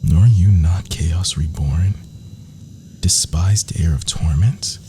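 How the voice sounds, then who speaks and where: A man's voice: low voice, slow, noisy background. A man performing whispered ASMR roleplay as an adoring, menacing villain lover, theatrical and florid, intimate in delivery. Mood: wounded, seductive menace.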